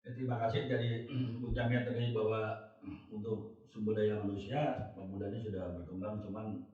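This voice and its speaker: Brief: male talk show host from West Java, measured and formal, frequent throat clearing, echoey studio, a bit off-mic. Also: lowish voice, little pitch movement, distant mic, narrow, tinny audio. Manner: measured, clears throat often, sums up guests' points. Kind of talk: formal moderated discussion.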